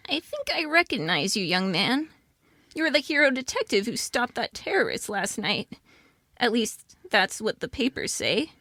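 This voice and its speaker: Woman acting a tearful old mother frog, lively pitch. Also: normal speed, no room sound, clean studio sound, exaggerated voice. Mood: tearful, gentle. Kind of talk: radio play acting.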